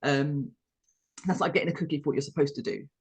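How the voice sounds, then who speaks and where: Measured, reflective British woman. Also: low voice, darkish tone, husky. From United Kingdom. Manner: measured and reflective, building points step by step. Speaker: podcast co-host. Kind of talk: explanatory podcast discussion.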